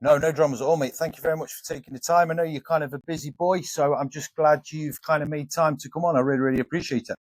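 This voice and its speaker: Fast-talking podcast host, dry sound. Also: male voice, a little high, fairly even intonation, no room sound. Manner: friendly, informal, calls the guest "pal" and "mate". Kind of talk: casual interview hosting.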